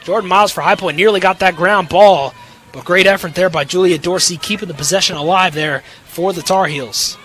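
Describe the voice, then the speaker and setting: A man's voice: high-pitched, fairly quick, fairly bright tone. A young man calling play-by-play sports commentary as an announcer. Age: young adult.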